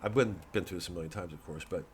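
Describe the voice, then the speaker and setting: A man with a fairly low voice talks casually, like a comedian plugging a gig to camera. The delivery wanders and digresses, with a dry, mock-earnest edge. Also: racing, a little hiss or hum. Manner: rambling, digressive. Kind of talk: casual vlog promoting a live show.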